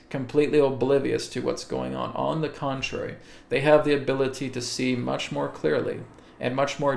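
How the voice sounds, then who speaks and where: A man speaking in a gravelly, creaky voice, hosting a live spiritual webcast and answering viewer questions. He talks slowly and deliberately, often pausing to check in with "okay?". His voice carries the echo of a roomy space. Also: medium pitch. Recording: echoey room.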